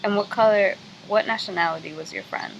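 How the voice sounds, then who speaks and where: A woman's voice: mid-range voice, a little fast, highs cut off, a little hiss or hum. A young American woman giving a class presentation and interviewing a guest, reading prepared figures with small stumbles. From United States. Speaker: student interviewer.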